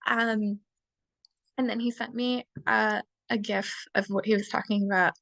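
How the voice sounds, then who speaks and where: A young woman presenting a class assignment over a video call, chatty and quick to laugh, with many fillers. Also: medium pitch, little pitch movement, creaky, a bit bright, no room sound. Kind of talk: casual slideshow presentation.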